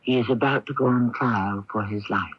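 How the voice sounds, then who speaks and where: A man narrating a radio drama as host, in a solemn, measured, scripted manner with dramatic pauses. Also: mid-range voice, heavy background noise. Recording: muffled 1980s AM radio tape.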